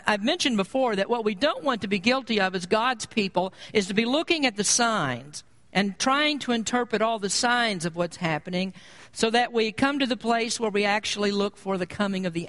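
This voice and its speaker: High-pitched American man preaching a sermon. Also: a bit bright. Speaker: pastor. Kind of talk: expository sermon.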